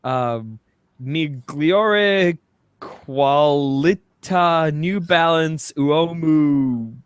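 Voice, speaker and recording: A man's voice: on the high side, drawn-out words, clear, resonant tone, dry recording. A man co-hosting a podcast in casual comedic banter, speaking in a somewhat hesitant, stammering way. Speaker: podcast co-host.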